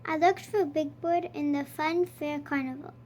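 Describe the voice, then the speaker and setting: High-pitched child reading a storybook aloud. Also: fairly even intonation, moderate pace, a little mellow, slight background noise. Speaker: storybook reader.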